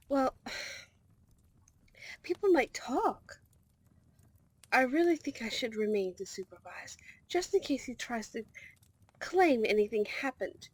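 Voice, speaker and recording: A woman's voice: high voice, slightly rough, no room sound, exaggerated voice, low-quality recording. A woman playing a formal, deferential and protective servant to a princess in a scripted fantasy ASMR roleplay. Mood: worried, protective. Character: dutiful, protective female servant of a vampire princess.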